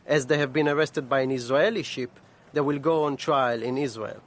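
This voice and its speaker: A man's voice: medium pitch, fairly even intonation, normal speed, lightly acted. A man playing a formal, firm young Israeli naval lieutenant in a radio drama, speaking precisely and officially. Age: young adult.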